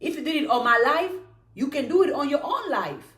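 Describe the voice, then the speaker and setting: A woman's voice: high-pitched, brisk, reverberant. A woman hosting a motivational live stream, chanting repeated affirmations and inviting viewers to join and ask questions. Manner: bursts into excited cheering.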